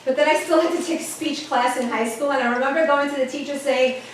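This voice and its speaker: A woman's voice: high voice, quick pace, a little hiss or hum. New woman, echoey and distant. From the Bronx, New York. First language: Italian. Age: 50s. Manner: animated, candid, keeps checking in with "okay?". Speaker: motivational speaker. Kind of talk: personal story told to an audience. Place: conference room at a retreat. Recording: echoey room from far off.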